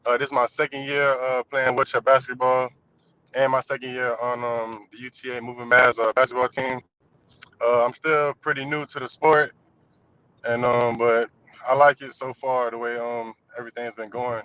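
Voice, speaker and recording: Smooth-voiced young man, even pitch. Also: normal speed, poor audio. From Florida, United States. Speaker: college wheelchair basketball player, guest. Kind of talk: casual self-introduction.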